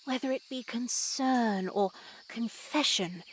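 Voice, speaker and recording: Slow-speaking, genteel convent nun. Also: female voice, fairly high pitch, a little hiss or hum, low-quality recording. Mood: reverent, motherly. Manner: slow, genteel, measured, preachy. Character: serene, pious convent nun mentor, motherly yet unsettling. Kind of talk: scripted visual novel voice acting.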